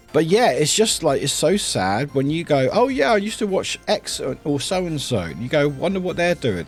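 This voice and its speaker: A man chatting casually with viewers on a livestream, rambling with frequent ums and restarts. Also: lowish voice. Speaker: streamer.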